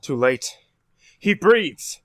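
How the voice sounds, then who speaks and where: Guy doing a grave religious professor in an audio play, talks slow and dramatic, pretty dry sound. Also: a little high, very animated, fairly bright tone, no room sound, full character voice. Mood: horrified. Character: pious, appalled professor, the scientist's former teacher. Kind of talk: amateur audio drama performance.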